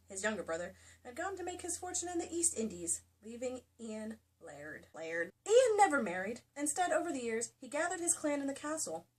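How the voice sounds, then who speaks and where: A woman doing a comedic dramatic reading of a novel as host, giggly and theatrical, with mock-dramatic emphasis. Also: a little high. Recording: distant mic, clearly noisy room sound.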